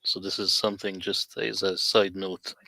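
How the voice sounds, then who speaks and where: A man from the Middle East who speaks Arabic natively, talking as a guest over a video call in a deliberate, emphatic, lecturing way. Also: deep voice, flat delivery, creaky, bright, forceful tone, dry recording. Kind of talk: casual livestream conversation.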